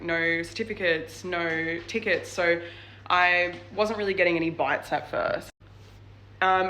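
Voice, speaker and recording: Young Australian woman vlogger, bright forceful tone, rambling chatty delivery. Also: lowish voice, a little hiss or hum. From New South Wales, Australia. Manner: chatty, lots of "like" and "um" fillers. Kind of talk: casual advice vlog.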